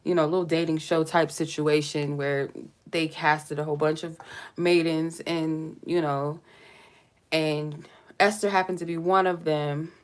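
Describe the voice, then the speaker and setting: A woman's voice: low-pitched, flat delivery, measured pace. A woman doing a casual, meandering tarot reading, chatty and lightly joking, sounding stuffy from a cold. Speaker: tarot reader. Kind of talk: casual spiritual reading to camera.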